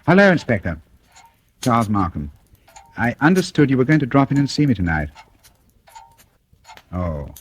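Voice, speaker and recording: An actor in a radio thriller playing a suave, cunning gentleman, coolly menacing, with measured, formal, ironically polite speech. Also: lowish voice, breathy edge. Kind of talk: 1940s radio thriller acting. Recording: noisy old radio drama recording.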